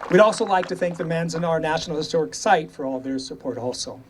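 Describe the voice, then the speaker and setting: A man's voice: fairly high pitch, fast talker. An older man co-hosting an event, giving informal thanks to the people involved. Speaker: event co-host. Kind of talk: informal thank-you speech. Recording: live event, faint background noise. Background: faint ambient noise.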